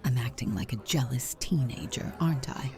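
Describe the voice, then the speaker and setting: A woman voicing a flirtatious, jealous, tender aunt in a visual novel game, speaking softly and intimately with a breathy delivery. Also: extremely low pitch, moderate pace. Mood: embarrassed, vulnerable.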